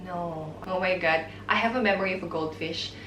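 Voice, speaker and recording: A woman's voice: lowish voice, a little fast, fairly bright tone, a little hiss or hum. Young Filipino woman vlogger, casual chatty delivery, recorded off-mic in an echoey room. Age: 20s. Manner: chatty, mixing Tagalog and English, giggly asides. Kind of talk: casual Q&A vlog. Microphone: camera mic. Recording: roomy sound, mic at a distance.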